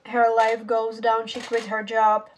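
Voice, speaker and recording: A woman's voice: a little high, monotone, unhurried, a little hiss or hum. A teenage girl whose first language is Czech, casually reviewing a book in a rambling, self-correcting way with casual swearing. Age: teens. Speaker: book vlogger.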